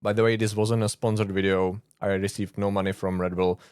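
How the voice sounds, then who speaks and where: Czech-accented man, low dry voice. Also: level tone, fairly quick, darkish tone, smooth, no room sound. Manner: earnest, informal, with frequent uh fillers and dry asides. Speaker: YouTube host, conspiracy researcher. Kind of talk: explainer monologue to camera.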